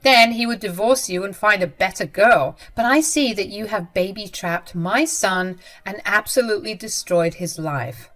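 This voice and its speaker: A woman voicing a scornful, spiteful middle-aged mother-in-law who talks loud and shrill. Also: moderate pace, hardly any pauses, soft background music, close to an everyday voice. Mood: furious.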